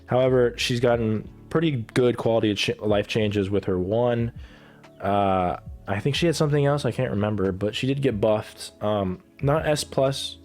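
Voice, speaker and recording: A man's voice: medium pitch, normal speed, a little mellow, faint music behind. A man giving casual, rambling commentary as a gaming creator, swearing freely and full of fillers and restarts, with a cough as he comes down with a cold. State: getting sick, coughing. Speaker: gaming content creator ranking characters.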